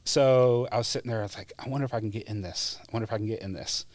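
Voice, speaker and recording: A low-pitched man speaks in a relaxed, chatty way, full of fillers like "you know". The recording is dry and close, with no room sound. He is a podcast guest recalling his path into emergency medicine. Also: very fast, no echo. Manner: relaxed, chatty, laughs often, many fillers. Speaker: guest, former EMT. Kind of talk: casual interview storytelling.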